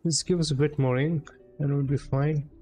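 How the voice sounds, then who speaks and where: A man's voice: fairly high pitch, steady tone, moderate pace, darkish tone. A man streaming a video game, giving casual commentary as he plays. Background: game music.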